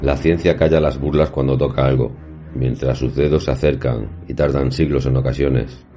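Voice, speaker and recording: A man playing an erudite professor who recounts paranormal experiences in a horror audio drama, with formal, measured, literary diction. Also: very deep voice, steady tone, mellow. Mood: grave, reflective. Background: music.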